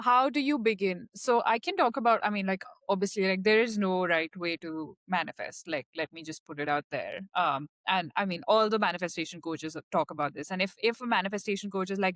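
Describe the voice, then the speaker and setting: Female podcast guest, native Hindi speaker, mid-pitched, chatty and explanatory, dry sound. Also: a bit bright, no echo. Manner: chatty, explanatory, many "like" fillers. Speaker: podcast guest, data scientist. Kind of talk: casual interview chat.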